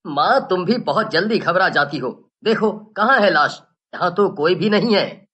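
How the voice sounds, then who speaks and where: A man's voice: sits high, lively intonation, a little slow, bright, forceful tone, heavily acted. A man playing a dismissive adult son in a horror story, speaking in a dramatic, emphatic way. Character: dismissive adult son reassuring his mother.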